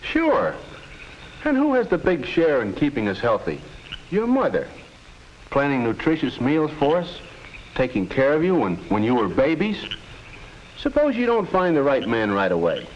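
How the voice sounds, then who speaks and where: A man's voice: mid-range voice, huge pitch swings, moderate pace. A man playing an earnest, patient middle-aged American father advising his teenage children in a 1950s educational film. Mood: encouraging. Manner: earnest, instructive. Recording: muffled, hissy 1950s film soundtrack.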